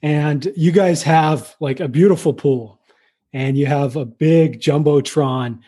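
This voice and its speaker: Man, podcast co-host, mellow warm tone, enthusiastic, repeats for emphasis. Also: fairly high pitch, slow. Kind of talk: scripted podcast intro and casual chat.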